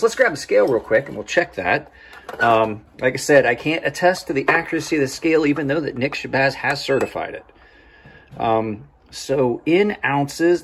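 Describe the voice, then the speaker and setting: A man in his forties talks through a casual review in a mid-pitched voice. His delivery swings up and down in pitch, with a slightly rough, husky edge. He chats informally and speaks straight to his viewers. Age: 40s. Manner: chatty, informal, addresses viewers as guys. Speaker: knife reviewer and channel host. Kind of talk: casual unscripted product review.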